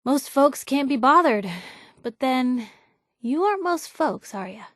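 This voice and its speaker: A woman playing a sassy, warm-hearted young reporter and loyal companion in a video game, talking in wry, breezy, clipped slang. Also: sits high, animated, unhurried, dry recording, studio quality. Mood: breezy, dry. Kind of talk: game voice lines, short reactive barks.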